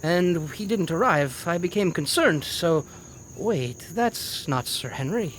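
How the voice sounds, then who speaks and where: A polite, evasive male villain, voiced by an actor in a radio play, putting on neighborly concern. His delivery is slightly hesitant. Clear background noise with chirping insects surrounds him. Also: on the high side, lively intonation, slow pace, a bit bright. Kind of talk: audio drama acting.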